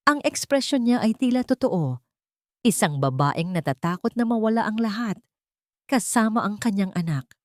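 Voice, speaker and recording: A woman's voice: medium pitch, animated, normal speed, a little mellow, no room sound, studio quality. A woman reading a scripted dramatic story as narrator.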